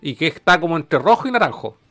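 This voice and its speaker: Animated, slangy Chilean man with a bright voice. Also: a little high, quick pace, no room sound. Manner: joking, slangy, profane. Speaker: show co-host. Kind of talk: casual chat, reacting to a video.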